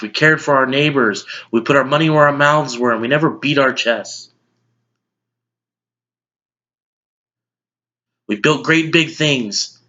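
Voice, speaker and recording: A man's voice: mid-range voice, pushed and bright, close to an everyday voice. A man playing a blunt, exasperated middle-aged news anchor in an emphatic, lecturing dramatic monologue. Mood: solemn, wistful. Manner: emphatic, lecturing, list-driven delivery. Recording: dull lo-fi audio.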